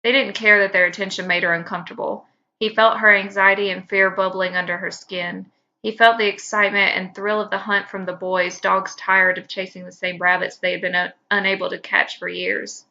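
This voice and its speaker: A woman podcast host speaks at a middling pitch. Her voice is bright and sharp, though the recording is a little dull and lo-fi. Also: little pitch movement, a little fast, creaky. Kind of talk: casual banter.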